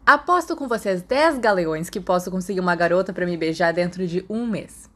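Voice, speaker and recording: A woman's voice: fairly low pitch, expressive, a little fast, fairly bright tone. A woman reading a storybook voices a confident, boastful teenage boy who banters competitively with his friends. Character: confident, boastful, athletic teenage wizard schoolboy.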